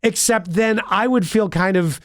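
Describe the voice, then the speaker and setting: A man's voice: high-pitched, moderate pace, fairly bright tone, dry recording, clean studio sound. A man from Buffalo, a podcast co-host who sounds stuffed-up and nasal from a cold, joking and rambling in casual banter. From Buffalo, New York. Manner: joking, self-deprecating, rambling with fillers. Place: podcast studio.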